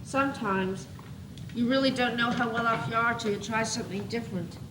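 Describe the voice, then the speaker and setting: A woman's voice: on the high side, fairly even intonation, a little fast, lightly acted. A young teenage girl acting a worried, mixed-up schoolkid in a school stage play. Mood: worried, wistful. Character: worried, mixed-up schoolkid regretting a big change. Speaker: student actor. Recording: echoey noisy 1980s school TV tape.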